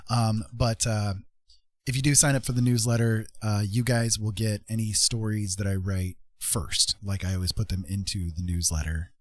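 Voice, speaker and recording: A man with a fairly low voice chats casually as he hosts a livestream. He rambles along in a self-deprecating way, and the sound is close and dry. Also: flat delivery, normal speed, no echo. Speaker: livestream host, author and artist.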